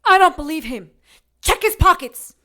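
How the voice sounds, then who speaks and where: A woman playing a sharp, rational young witch who scolds and argues. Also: extremely high pitch, very animated, moderate pace, full character voice. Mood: scolding. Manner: clipped, indignant, scolding. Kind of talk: audio drama acting.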